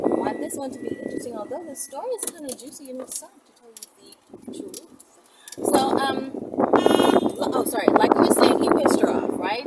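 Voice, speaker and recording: A woman chatting casually as a storytelling vlogger, with slangy, emphatic phrasing and lots of repetition for effect. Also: a little high. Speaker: vlogger telling stories to followers. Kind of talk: casual storytelling vlog chat. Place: out and about, on the move in town. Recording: distant mic, noisy vehicle ambience.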